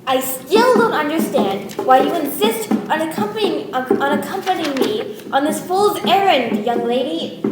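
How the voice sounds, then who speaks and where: A woman playing an impatient, skeptical Yankee gentleman in a radio play, questioning in an exasperated manner. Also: sits high, expressive, unhurried, noisy background. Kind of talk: old-time radio play acting. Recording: echoey room, music behind.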